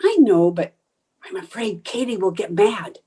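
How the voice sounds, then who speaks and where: A woman's voice: mid-range voice, unhurried, mellow, husky. A woman playing a sarcastic, theatrical housewife in an old-time radio sitcom, with exaggerated, wisecracking delivery. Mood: flustered. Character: sarcastic, theatrical young housewife with a quick temper.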